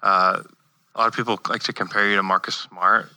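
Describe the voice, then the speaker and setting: Low-voiced man hosting a podcast. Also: little pitch movement, fairly quick, pushed and bright, dry recording, poor audio. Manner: easygoing, asks with short affirmations. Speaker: podcast host. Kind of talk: casual sports interview.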